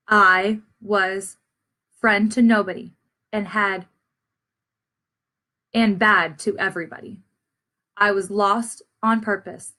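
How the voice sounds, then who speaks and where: A woman reading as a storybook seed, a sullen male character trying to reform, in a deliberate, confessional way. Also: medium pitch, bright, forceful tone, full character voice.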